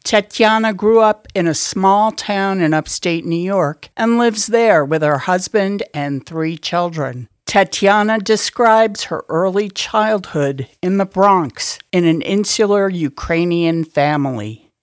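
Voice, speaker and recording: A woman hosting a weekly radio interview show, giving measured, formal introductions and asking questions. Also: on the low side, no echo, studio quality. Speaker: radio host.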